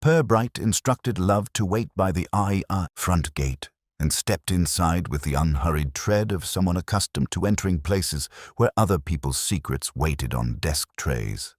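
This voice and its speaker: A man reading a genteel mystery story as narrator, in a measured, precise, literary manner. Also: low-pitched, no echo, studio quality.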